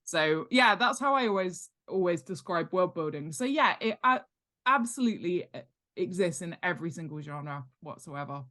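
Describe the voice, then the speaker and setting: A woman's voice: fairly low pitch, animated, normal speed, bright, forceful tone. A woman chatting casually as a guest expert in an interview, in a chatty, jokey and emphatic way. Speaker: guest author and world-building expert. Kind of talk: casual podcast interview chat.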